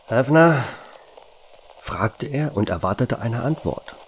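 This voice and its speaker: Low, lively male storyteller. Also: moderate pace, slight background noise. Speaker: narrator and author. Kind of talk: scripted storytelling narration.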